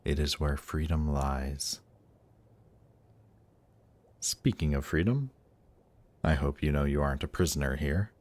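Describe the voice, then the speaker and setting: Casting: male actor for suave demon prince of Hell, extremely low voice, deliberate and tempting, intimate fantasy audio roleplay. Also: no echo. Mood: confiding, persuasive. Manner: slow, seductive, deliberate, gently coaxing. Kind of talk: intimate audio roleplay, scripted monologue to listener.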